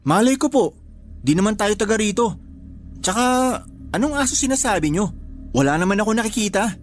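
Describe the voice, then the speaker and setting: A man's voice: high voice, lively intonation, a little fast, soft background music. A man playing an exasperated but respectful young nephew who puts on a brave front in a Tagalog radio drama. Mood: puzzled, startled.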